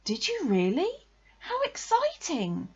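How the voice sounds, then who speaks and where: A woman voicing a kind, eager, excitable young boy in a children's storybook reading. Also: very high voice, slow pace, highs cut off, voice pushed up, full character voice. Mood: sympathetic, excited. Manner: bright, eager exclamations.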